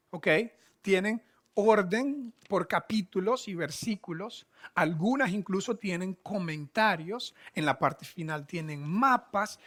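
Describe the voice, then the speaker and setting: Casting man in his 30s, upbeat relaxed teacher, higher voice. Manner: chatty, joking, shows books. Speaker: pastor. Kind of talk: casual teaching talk.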